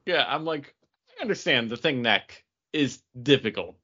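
A man with a mid-pitched, bright and forceful voice talks casually as a podcast co-host. He rambles with enthusiasm through a comic book review. The recording is a little dull and lo-fi. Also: animated, normal speed.

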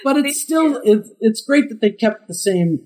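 A middle-aged man with a high tenor voice, a guest voice actor telling chatty anecdotes in an interview, laughing often and acting out the quotes. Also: animated, normal speed, a little mellow. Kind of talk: casual interview storytelling.

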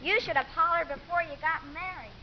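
A woman's voice: very high-pitched, brisk. An actress playing a wry, streetwise young schoolgirl in a 1950s radio drama. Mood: grumbling. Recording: noisy, muffled 1950s radio recording.

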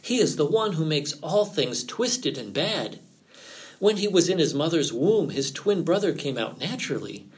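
Sly folktale fox, played by a man: raised, very animated pitch, feigned concern, drawn-out pleading. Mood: urgent, grave. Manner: theatrical, drawn-out pleading and warnings. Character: sly male fox feigning worry, mock-pleading, warning.

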